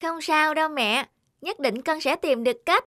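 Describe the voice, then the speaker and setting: A woman voicing a small, caring young monkey in an animated cartoon, earnest, eager and polite. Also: high-pitched, normal speed, faint music behind. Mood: earnest, pleading.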